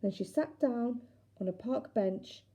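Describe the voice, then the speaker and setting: A woman narrating a children's storybook in an expressive, deliberate storytelling manner. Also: a little high, husky, lo-fi sound. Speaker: teacher reading aloud.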